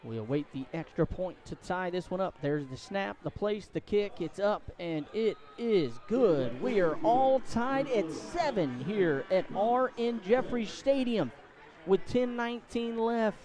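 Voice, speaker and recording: A man doing excitable live play-by-play commentary of a high school football game, outdoors at the stadium. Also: a little slow. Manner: excitable, voice climbs to shouts on big plays. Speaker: play-by-play sports announcer.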